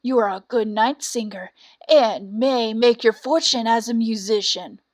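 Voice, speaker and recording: A woman's voice: on the high side, a little slow, no echo, clean studio sound, natural voice. A woman voicing an old donkey in a storybook, hearty and courteous, with emphatic old-fashioned speech. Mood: friendly, encouraging.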